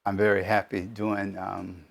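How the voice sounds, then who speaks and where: A man's voice: low voice, little pitch movement, measured pace, gravelly. A man in his seventies, a plain-spoken interview guest sharing personal experience. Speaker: retired volunteer tutor, interview guest.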